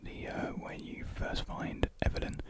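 Young man whispering ASMR. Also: measured pace. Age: young adult. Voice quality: breathy unvoiced whisper. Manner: soft, rambling, hesitant. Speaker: ASMR video creator. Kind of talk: ASMR whispered casual ramble about video games. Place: small room with painted block walls. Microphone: large desktop USB condenser microphone, close up.

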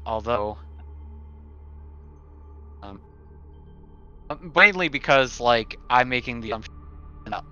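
A man playing a tabletop game, hesitantly reasoning through his options out loud. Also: lowish voice. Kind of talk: casual deliberation during a game. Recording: dull lo-fi mic, faint music.

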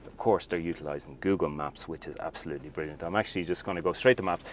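A deep-voiced man talks viewers through a car's screen menus. He sounds chatty and upbeat, calling the audience folks. He is recorded inside a parked car. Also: quick pace, slight background noise. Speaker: car reviewer. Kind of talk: casual video walkthrough.

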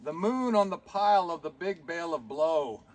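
Casting: male performer as wry, grumbling puppet rhymester, higher-pitched and lively, live puppet show with heavy noise. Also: moderate pace, natural voice. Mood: gleefully reciting. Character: grumbling man puppet reciting a bawdy party rhyme. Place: live talent show, recorded from the audience. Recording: noisy live show recording with clicks.

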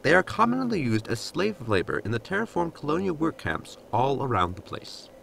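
A man's voice: fairly high pitch, very animated, normal speed, gravelly, a bit bright, slight background noise, heavily acted. A man playing a blunt, literal android servant in a sci-fi comedy audio drama, formal and matter-of-fact. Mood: matter-of-fact explaining.

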